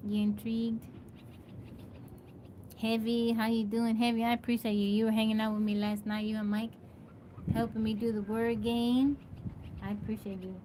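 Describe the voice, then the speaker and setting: A woman's voice: a little high, flat delivery, normal speed, long pauses, mellow. A woman from Texas hosting a casual live stream, greeting viewers by name and answering their questions. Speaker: livestream host. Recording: noisy live-stream audio.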